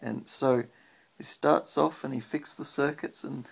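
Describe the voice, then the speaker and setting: An Australian man with a husky, creaky voice, reading a picture book aloud and chatting simply with a child as a parent would. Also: medium pitch, steady tone, unhurried, background noise.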